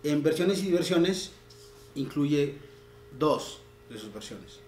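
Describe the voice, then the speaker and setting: Man, literary talk host, fairly high voice, declaims poems aloud, reverberant room. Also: animated, slow, darkish tone, a little hiss or hum. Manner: reads translated poems aloud with raised, declaiming voice. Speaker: writer leading a literary talk. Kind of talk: literary talk with poetry reading. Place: room in a house.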